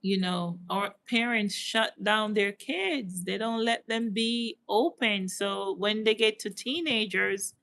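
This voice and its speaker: A woman's voice: medium pitch, slow, few pauses, a bit bright. A woman with a slight Jamaican accent chatting casually on a livestream, reading out viewer comments and answering them. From United States. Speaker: host.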